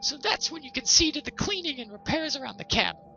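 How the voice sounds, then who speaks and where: A man voicing a nagging, ill-tempered, contemptuous wife in a shrill, scolding storybook character voice. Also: animated, fast, gravelly, pushed and bright, highs cut off, full character voice. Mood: harping, bossy. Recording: music behind the voice.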